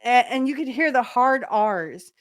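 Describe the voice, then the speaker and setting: A woman's voice: high-pitched, expressive, normal speed. A woman hosting a podcast, chatting casually along with a video in a fond, giggly way, with many filler ums. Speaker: podcast host. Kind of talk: casual watch-along commentary.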